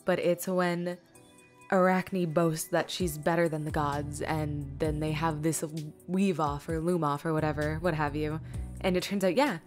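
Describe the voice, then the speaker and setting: A woman with a notably low voice hosts a casual Q&A. She rambles informally, blunt and a bit geeky, while music plays clearly behind her. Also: steady tone, measured pace. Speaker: Q&A host. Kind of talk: casual chat.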